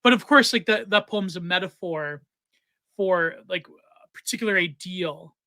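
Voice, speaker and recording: A man's voice: high voice, unhurried, bright, forceful tone, no echo, studio quality. A man hosting a podcast, chatting in an engaged way and thinking aloud. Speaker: podcast host. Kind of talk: casual conversation.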